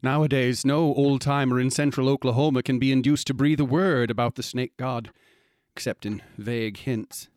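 Guy acting as cryptic folklore expert, measured n ominous, mid pitch, close dry studio sound. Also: fairly even intonation, a little mellow, dry recording, natural voice. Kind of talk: radio drama acting.

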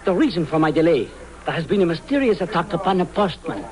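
Man plays formal, eager inspector; fairly high, rapid, apologetic, vintage radio. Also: expressive, lightly acted. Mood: courteous, urgent. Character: courteous, breathless male European police inspector. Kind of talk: 1950s radio drama acting. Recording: old radio recording.

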